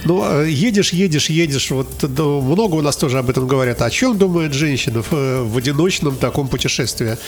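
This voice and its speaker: A man's voice: on the high side, expressive, a little fast, few pauses. A man hosting a chatty, informal interview, throwing in jokey asides as he asks questions. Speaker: interviewer, host. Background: faint music.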